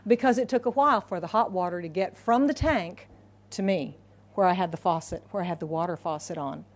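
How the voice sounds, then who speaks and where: A woman's voice: on the low side, fairly quick. A woman from the United States preaching a sermon, emphatic and repeating her key phrases for the congregation. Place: church in Hong Kong.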